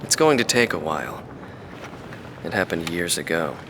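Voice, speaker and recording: A man playing a weary, reflective veteran mercenary pilot in a video game, recalling the past in a measured, wistful interview monologue. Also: low-pitched, expressive, noisy background. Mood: wistful reminiscence. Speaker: former mercenary fighter pilot being interviewed.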